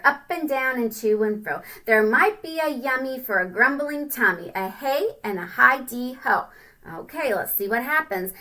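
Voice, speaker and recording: Female read-aloud storyteller for children, bright high voice, singsong and expressive, chatty asides. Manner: expressive, singsong, asks the listener questions.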